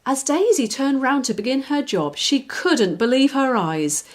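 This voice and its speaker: A woman reads a children's story aloud. The voice is fairly high, with expressive, very lively intonation and clear phrasing for young listeners. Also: a little slow. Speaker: children's author, reader. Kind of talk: scripted storybook narration.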